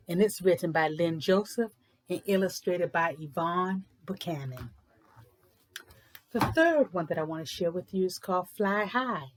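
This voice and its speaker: A woman presenting and reading children's books aloud in an informal, explanatory way with deliberate, emphatic phrasing. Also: lowish voice. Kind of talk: informal book talk and storybook read-aloud.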